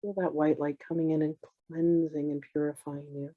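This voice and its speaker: A meditation teacher guiding in a soothing, unhurried way, with gentle instructions in drawn-out phrases. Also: mid-range voice, flat delivery, dry recording, narrow, tinny audio. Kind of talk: guided meditation, slow instruction.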